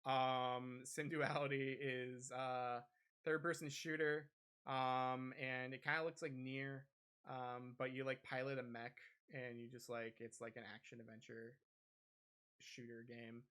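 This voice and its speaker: A man's voice: medium pitch, little pitch movement, measured pace, a bit bright, clean tone. A man hosting a podcast, talking casually and a little hesitantly through gaming news. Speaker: podcast host.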